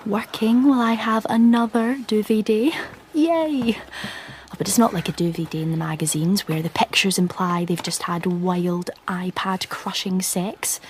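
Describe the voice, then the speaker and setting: Radio drama: shy young jobless dreamer, sardonic inner voice, unhurried delivery, some noise behind. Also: female voice, lowish voice, a little mellow. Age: young adult. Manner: wry, self-mocking, hesitant aloud. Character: cripplingly shy, wry, unemployed young woman graduate, an aspiring comic artist. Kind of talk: radio play acting, confiding inner monologue.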